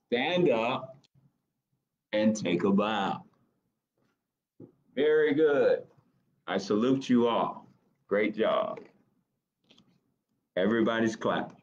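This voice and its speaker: A man in his thirties reads a picture book to children in a fairly low voice that swings widely in pitch. He is encouraging and stops to chat with his young listeners. The webcam audio is slightly dull. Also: darkish tone, a little hiss or hum. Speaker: children's librarian, storytime host. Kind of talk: reading a picture book aloud to children with asides. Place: small office, indoors.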